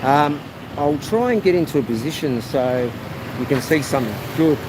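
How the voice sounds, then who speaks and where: A man live-streaming as he walks, chatting loosely to viewers in a loud, projecting voice. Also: a little high, animated, normal speed. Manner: chatty, rambling, addresses viewers as guys. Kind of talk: casual walking live stream. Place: outdoors on a busy rural roadside. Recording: outdoor stream with loud traffic noise. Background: loud traffic and motorbike noise.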